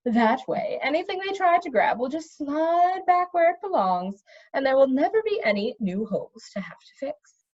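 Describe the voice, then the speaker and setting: A woman's voice: sits high, big pitch swings, moderate pace, darkish tone, dull audio. A woman voicing a confident, matter-of-fact male magician, a touch testy, in a storybook reading. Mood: businesslike. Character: confident, technical-minded male magician, easily annoyed.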